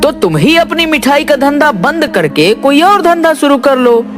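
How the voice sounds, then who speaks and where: An earnest village father and sweet-seller acted by a man, proud and caring, with slow, emphatic delivery that swings widely in pitch. Music runs behind the storybook dialogue. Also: sing-song, full character voice. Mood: defiant.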